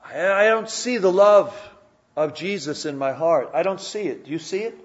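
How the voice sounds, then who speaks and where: A man's voice: sits high, expressive, moderate pace, highs cut off. A man preaching a sermon in a church, often repeating and restarting his words and asking the congregation questions. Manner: repetitive stammering restarts, conversational questions to the congregation. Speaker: pastor.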